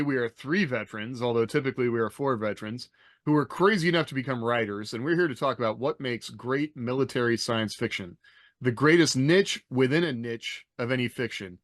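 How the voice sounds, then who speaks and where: A middle-aged man hosts a casual panel podcast. His voice sits at an average male pitch, recorded dry with no room sound. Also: normal speed, a bit bright, no echo. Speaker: podcast host. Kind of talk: casual panel talk with rehearsed introductions.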